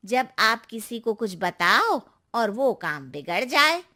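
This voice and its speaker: A woman voicing a knowing, cautious neighbor aunty in an animated cartoon. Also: a little high, takes it slowly, voice pushed down, full character voice. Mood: warning. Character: wise, warning older neighbor aunty.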